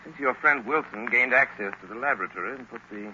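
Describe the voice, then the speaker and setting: Knowledgeable 1930s radio gentleman detective: crisp forceful tone, fast, mid-pitched, urbane. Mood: assured, explanatory. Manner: articulate, explanatory. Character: suave, knowledgeable gentleman amateur crime investigator. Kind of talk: 1930s radio drama acting. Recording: noisy muffled 1930s radio recording.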